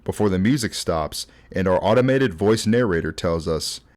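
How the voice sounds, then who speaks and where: A man's voice: deep voice, animated, normal speed, a little mellow. A young man in his twenties hosting a casual, conversational video essay, talking informally through scripted commentary. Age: 20s. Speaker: host.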